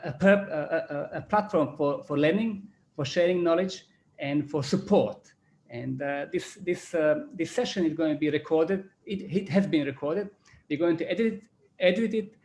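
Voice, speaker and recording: A man with a Croatian accent, a business school chairman giving closing remarks on a video call, halting and repeating words with many fillers. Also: fairly high pitch, a little mellow. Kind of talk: closing remarks at an online panel.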